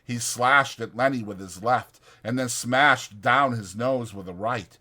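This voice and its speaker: A man's voice: medium pitch, a little slow, pushed and bright. A man narrating a novel as a dramatized audiobook.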